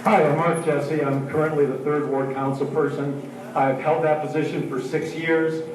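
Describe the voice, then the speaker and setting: An older councilman lays out his duties in a calm, orderly way. His slightly raised voice carries from a distance through a reverberant hall, with faint noise behind it. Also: fairly high pitch, normal speed, crowd noise. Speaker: city council member. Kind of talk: candidate speech. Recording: echoey hall from far off.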